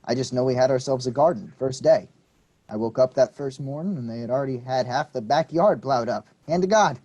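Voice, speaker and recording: A man's voice: mid-range voice, fairly quick, mellow, highs cut off, close to an everyday voice. A man reading the part of a polite, earnest teenage nephew, a little unsure of himself. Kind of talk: staged play reading.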